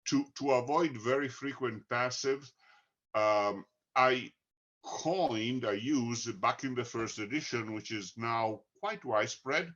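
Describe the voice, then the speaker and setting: A man with an Italian accent, a book co-author, talking slowly and deliberately with many pauses. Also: medium pitch, fairly bright tone, muffled recording. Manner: slow, deliberate, frequent "uh" pauses. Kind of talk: conversational talk.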